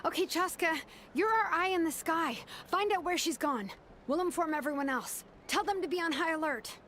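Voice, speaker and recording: A woman's voice: very high voice, moderate pace, fairly bright tone, a little hiss or hum. A woman playing an earnest, worried female investigator in a video game, explaining things with urgency. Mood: commanding.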